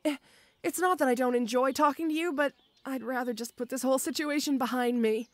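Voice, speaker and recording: Audio roleplay: woman as a strong but gentle orc schoolgirl, high voice, stammering and shy, very dry recording. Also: a little fast, no room sound, natural voice. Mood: shy, earnest. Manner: hesitant, stammering, bashful.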